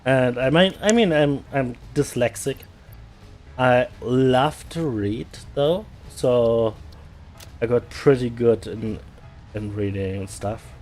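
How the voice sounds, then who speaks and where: A man from Germany with a German accent, chatting casually while playing a game, often repeating words and pausing with fillers. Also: mid-range voice, a little mellow, faint music behind. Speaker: gaming streamer. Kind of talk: casual gameplay chat.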